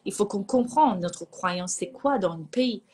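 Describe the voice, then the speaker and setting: A woman from Iran whose first language is Persian, a psychologist chatting as a guest in a calm, reflective way and often pausing to find her words. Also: mid-range voice. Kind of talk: casual conversation.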